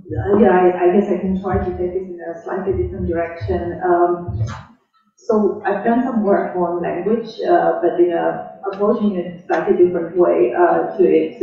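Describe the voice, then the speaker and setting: A man whose first language is Armenian speaks on an academic panel, halting and full of fillers. His voice sits high and gravelly. It comes from far off across an echoey function hall and sounds thin, like a phone line. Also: level tone. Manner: halting, many fillers and restarts. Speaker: panelist, economist.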